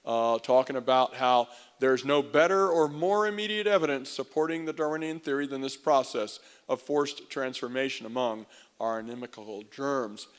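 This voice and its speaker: An earnest man gives a creationist lecture, heard as a clip played on a show. He reads quotations aloud at a middle pitch, in a steady lecturing tone. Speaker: creationist speaker.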